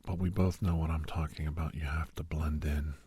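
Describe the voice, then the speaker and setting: A man playing a doctor who counsels a young person in an ASMR roleplay, mostly whispering in a slow, breathy, reassuring but firm manner. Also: very deep voice, fairly even intonation, warm tone, no echo, exaggerated voice. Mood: gentle, advising. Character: male doctor gently counselling a young werewolf for college.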